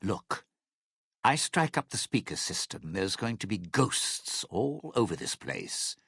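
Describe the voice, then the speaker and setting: An actor playing a cynical, self-serving lounge singer in a video game, with a raspy, gravelly voice and a wry, theatrical, menacing delivery. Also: male voice, sits low, sing-song, slow, a bit bright, dry recording, studio quality, full character voice. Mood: cold, menacing. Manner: wry, theatrical, hesitant muttering turning to threats. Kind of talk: video game character dialogue.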